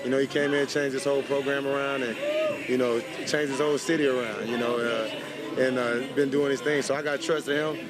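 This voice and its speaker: A man giving a casual, halting, repetitive interview answer as an athlete. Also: medium pitch, steady tone, loud music behind the voice. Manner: halting, repetitive, peppered with "you know". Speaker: professional football player being interviewed. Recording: outdoor interview over busy crowd noise.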